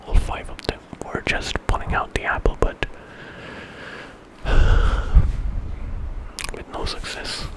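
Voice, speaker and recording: A man whispers an ASMR story in an unscripted, conversational way, with dramatic detail. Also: poor audio.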